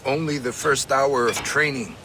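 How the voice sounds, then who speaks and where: An actor playing a stoic, patient assassin survival mentor with measured, formal speech in a video game cutscene. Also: male voice, medium pitch, noisy background, close to an everyday voice. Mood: stern.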